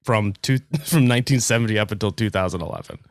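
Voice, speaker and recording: A man's voice: fairly low pitch, fast talker, no room sound, studio quality. A man presenting on a podcast in an informal, casual explanatory chat with a wry streak.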